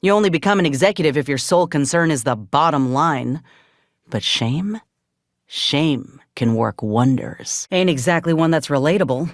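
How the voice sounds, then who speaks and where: An actress playing a grim, resolute outcast soldier in a video game, with a folksy drawl and a measured, wry delivery. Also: female voice, low-pitched, animated, no echo, studio quality. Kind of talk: video game character dialogue.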